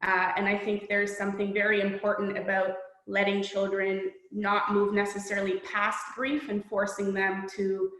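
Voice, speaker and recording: A middle-aged woman author from Poland speaks in an earnest, reflective, measured way, her voice fairly flat in pitch. The recording has a noticeably echoey room sound. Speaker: children's book author. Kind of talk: reading aloud, then interview answers.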